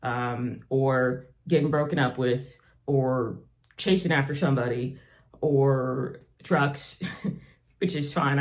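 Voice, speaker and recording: A man's voice: medium pitch, darkish tone. A man with a Southern US accent giving a casual spiritual talk in an earnest, reflective, conversational way. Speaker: spiritual teacher and channel host. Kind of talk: casual monologue teaching.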